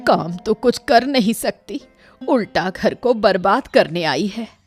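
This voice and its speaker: A woman's voice: mid-range voice, expressive, slow, husky, soft background music. A woman voicing a bitter, complaining old mother-in-law, a poor servant, in a cartoon story. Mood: aggrieved, lamenting. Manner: complaining, aggrieved. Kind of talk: Hindi cartoon story voice acting.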